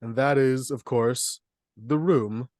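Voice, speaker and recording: A man with an average-pitched voice talks casually as a podcast co-host. His phrasing is measured and wry, sometimes feeling for the word. The sound is very dry, free of room echo. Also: a little mellow. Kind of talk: casual chat, discussing films.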